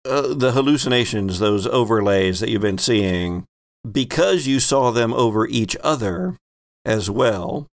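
A man's voice: medium pitch, animated, measured pace, no echo. A man acting as game master, casually explaining things to his players with dry, wry asides.

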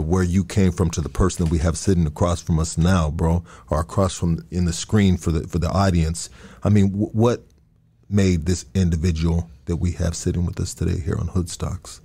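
A man hosting a casual interview, relaxed and calling his guest bro again and again. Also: deep voice, brisk, breathy edge. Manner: relaxed, says bro constantly. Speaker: podcast host.

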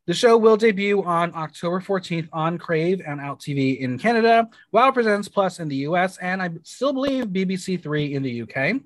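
A man's voice: a little high, a bit bright. Chatty man hosting a podcast. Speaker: podcast host. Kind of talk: casual podcast chat.

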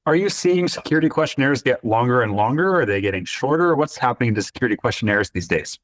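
A man hosts a business podcast and puts questions to his guest at a fast clip. His pitch moves around a lot as he talks. The recording is dry and close. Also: lively intonation, dry recording. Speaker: podcast host. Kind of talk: interview questions.

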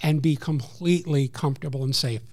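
A man from New York with a New York accent, a program coordinator explaining services as a guest in a measured, informative way. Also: fairly high pitch, steady tone, darkish tone. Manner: measured, informative, some restarts.

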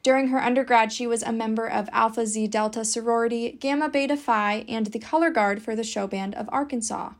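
A woman's voice: fairly high pitch, recorded from a distance. A young woman hosting a casual interview. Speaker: interview host.

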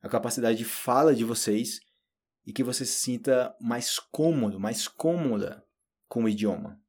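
Brazilian guy doing a chill podcast lesson, kinda husky voice, talks right to you. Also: mid-range voice, normal speed. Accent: Brazilian Portuguese. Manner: relaxed, chatty, addresses listeners directly. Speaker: Portuguese teacher. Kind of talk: informal podcast lesson for learners. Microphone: solo audio recording.

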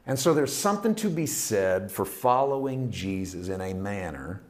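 A man preaching a sermon, building with repeated phrases and dropping to hushed, intimate passages. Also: lowish voice, sing-song, moderate pace. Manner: emphatic, repetitive preaching cadence, swings from loud to hushed. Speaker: pastor.

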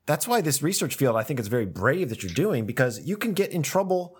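Lively-voiced man thinking aloud. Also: on the high side, fairly quick, breathy edge. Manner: thoughtful, repeats words while thinking aloud. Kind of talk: casual academic conversation.

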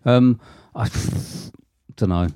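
A man's voice: medium pitch, drawn-out words, dark tone, clean tone. A young man co-hosting a podcast in casual chat, joking and laughing often. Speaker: podcast co-host.

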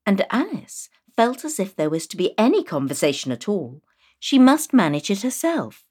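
A woman giving an expressive scripted reading of a children's storybook. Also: mid-range voice, moderate pace, slightly rough.

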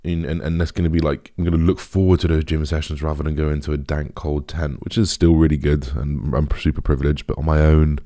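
A young British man with a deep, raspy voice, chatting casually as a podcast host in a rambling, informal way. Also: level tone, a little fast, hardly any pauses, mellow, no room sound, clean studio sound. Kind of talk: casual solo podcast chat.

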